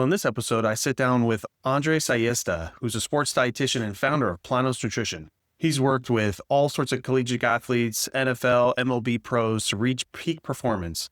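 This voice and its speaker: A man's voice: medium pitch, fairly bright tone, no echo. A man in his forties hosts a casual podcast chat. His questions come across upbeat and conversational, in a mid-range voice. The sound is dry and close to the mic. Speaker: podcast host.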